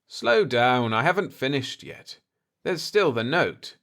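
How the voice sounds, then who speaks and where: A man voicing a shrewd, calm space diplomat with a dry, assured manner in a sci-fi audiobook. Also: medium pitch, takes it slowly.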